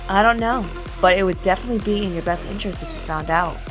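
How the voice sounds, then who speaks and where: A woman's voice: mid-range voice, fast talker, music in the background, lightly acted. A woman playing a tough, sardonic female bounty hunter in an audio drama, blunt and teasing. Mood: mocking, flirty.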